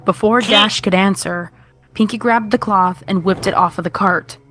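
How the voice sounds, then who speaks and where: A woman's voice: mid-range voice, moderate pace, a bit bright, faint music behind. A woman reading scripted horror fiction as the narrator.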